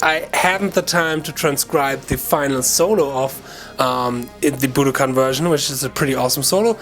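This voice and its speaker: A man with a German accent hosting a guitar lesson, talking to viewers in an informal, chatty way with halting self-corrections. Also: a little high. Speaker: guitar teacher and video channel host. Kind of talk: casual video lesson talk to viewers.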